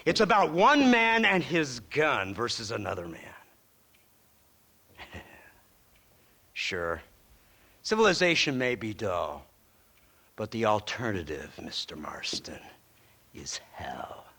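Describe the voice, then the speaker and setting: Sneering american gov agent in a cowboy game, super animated, loves his own sardonic speeches. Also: on the low side, slow pace, pauses often, breathy edge, full character voice. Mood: smug lecturing. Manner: mocking, rhetorical speechifying with chuckles. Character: smug, sardonic male government agent, ruthless and theatrical. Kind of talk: western video game cutscene acting.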